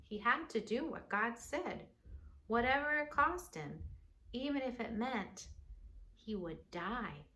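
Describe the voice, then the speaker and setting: A woman reading a children's storybook aloud expressively, with dramatic pauses and chatty asides to her listeners. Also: a little high, expressive, bright, forceful tone.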